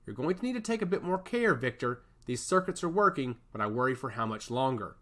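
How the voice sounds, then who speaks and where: A man's voice: medium pitch, sing-song, brisk, pushed and bright. A man voicing a guarded adult woman scientist in an audiobook story, talking firmly and clipped, sometimes harsh. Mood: wry. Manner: firm and clipped, turning harsh. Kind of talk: audiobook character voice.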